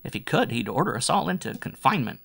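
A man voicing a level-headed, quick-thinking crewman who reasons and explains, in a science fiction story. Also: medium pitch, very animated, vocal fry, a bit bright, breathy edge, voice pushed up, full character voice. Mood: argumentative. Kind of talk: science fiction audiobook character.

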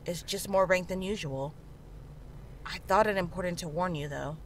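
A woman playing a calm, frank town healer in a horror visual novel, speaking in a measured, reassuring way. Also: on the low side, natural voice. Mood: reassuring, practical. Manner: measured, matter-of-fact, reassuring. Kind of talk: visual novel character dialogue. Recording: clearly audible background noise, ambience.

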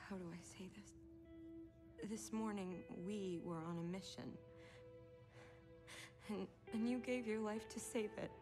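A woman playing a young, tough, wisecracking military commander in a video game cutscene, with a sardonic, brisk manner. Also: mid-range voice, music in the background, lightly acted. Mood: hesitant, pained.

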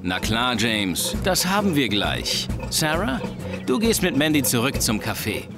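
A man voicing a calm, dependable firefighter hero in a children's cartoon dub, clear and reassuring. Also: mid-range voice, a little slow, loud music behind the voice. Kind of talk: children's animated series dub.

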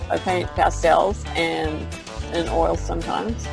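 Creaky-voiced woman over music. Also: on the low side, flat delivery, takes it slowly. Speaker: podcast panelist, artist. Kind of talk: casual self-introduction and chat. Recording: music clearly behind the voice.

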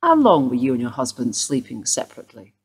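An actress playing a woman detective who questions a suspect in a brisk, formal way. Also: extremely low pitch, huge pitch swings, husky, full character voice. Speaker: police detective. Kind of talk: radio play acting.

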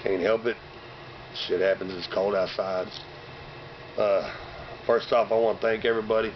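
Hoarse slangy man vlogging outdoors. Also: on the low side, flat delivery, normal speed. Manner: loose slang-heavy shout-outs, drinking between lines. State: hoarse, losing his voice. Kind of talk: casual rambling vlog. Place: outdoors by bare trees and fields on a cold sunny day. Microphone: camera mic from a few meters away. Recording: dull lo-fi camera audio with outdoor noise.

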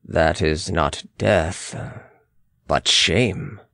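A man voicing a cold, stern, devoted nobleman in a dramatic audiobook, grave and measured. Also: low-pitched, creaky, bright, forceful tone, no room sound, studio quality, voice pushed down, heavily acted. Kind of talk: dramatic audiobook character reading.

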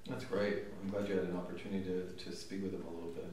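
A middle-aged man hosting a casual interview in a conference room, relaxed and chatty with fillers. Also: sits low, rapid-fire, slight background noise. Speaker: interviewer, content manager. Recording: echoey room, mic far off.

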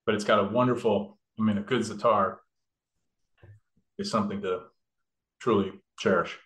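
A man's voice: fairly low pitch, fairly even intonation, moderate pace, fairly bright tone. A man demonstrating a recipe in a kitchen, explaining each step casually with many fillers and restarts. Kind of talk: casual cooking demo. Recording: echoey room.

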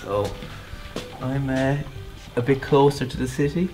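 A man's voice: mid-range voice, flat delivery, a little slow, darkish tone, faint music behind. An Irish man, an actor, hesitantly sharing a fact with his cast. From Cork, Ireland.

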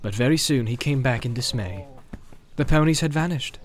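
A man's voice: mid-range voice, lively intonation, moderate pace. A man narrating an audiobook in a dramatic storytelling style.

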